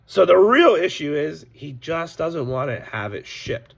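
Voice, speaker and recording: Hoarse, gravelly man vlogging. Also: a little high, big pitch swings, a little slow, highs cut off. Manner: candid, complaining, warning viewers. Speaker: sports card seller. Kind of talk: casual direct-to-camera vlog.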